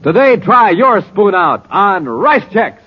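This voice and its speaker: A man's voice: high voice, very animated, takes it slowly. Dramatic, swooping 1950s American radio announcer man. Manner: dramatic, emphatic showman delivery. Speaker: announcer and narrator. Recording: muffled, noisy 1950s radio recording.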